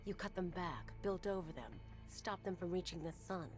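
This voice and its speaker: A woman's voice: lowish voice, moderate pace, close to an everyday voice. Woman doing brooding game villainess, intense n dramatic, proud, music behind her. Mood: bitter, mournful. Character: brooding female plant-loving villainess, proud and mournful. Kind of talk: video game cinematic acting.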